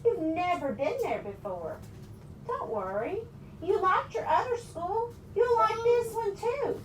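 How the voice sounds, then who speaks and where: A woman storyteller plays an affectionate, firm husband from a picture book. The delivery is coaxing and emphatic, high in pitch with big swings, in a roomy recording. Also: big pitch swings, takes it slowly, heavily acted. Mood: reassuring. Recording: roomy, distant mic with background noise.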